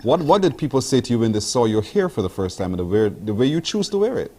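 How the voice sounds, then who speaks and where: A man's voice: medium pitch, very animated, fast, mellow. A man hosting a morning show, asking probing, conversational questions. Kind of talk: interview questions.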